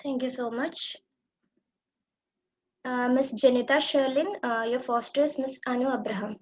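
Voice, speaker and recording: A woman hosting an online event, reading out names with frequent pauses. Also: high voice, steady tone, slight background noise. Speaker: event host.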